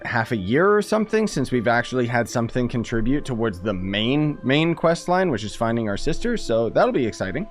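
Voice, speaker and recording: A man's voice: medium pitch, big pitch swings, brisk, hardly any pauses, faint music behind. A young man giving casual, slangy live commentary as he streams a game. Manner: slangy, reactive, chatty.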